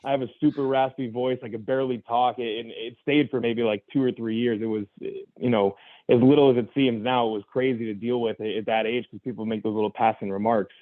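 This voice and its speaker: Young adult man, guest. Earnest, quick, restart-heavy storyteller on a dry, tinny phone-like line. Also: medium pitch, steady tone, dry recording. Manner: earnest, reflective, full of restarts and "you know". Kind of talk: candid personal story in conversation.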